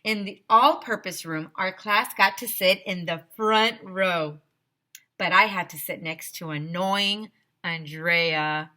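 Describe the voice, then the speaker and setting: A woman teacher reading a children's book aloud in an expressive, emphatic storytelling manner. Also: lowish voice, slow pace.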